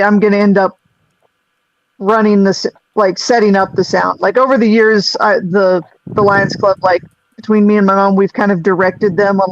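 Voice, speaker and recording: Low-voiced woman rambling on a call. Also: no echo, lo-fi sound. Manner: rambling, restarts, self-deprecating laughs. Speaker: singer and sound technician. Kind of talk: casual group video-call chat.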